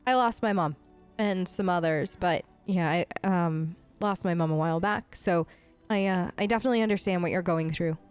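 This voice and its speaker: A woman hosting a let's play, improvising in character in a casual, halting, supportive conversational way. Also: on the low side, clear, resonant tone, soft background music.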